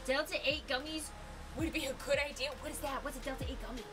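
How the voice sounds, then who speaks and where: A woman in her twenties, live-streaming from a gaming room with a desk mic, bubbly and excitable, laughing often. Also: high voice, fast. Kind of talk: casual live-stream chat. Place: gaming room lit with colored lights. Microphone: desk mic with headset. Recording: music under the voice. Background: background music.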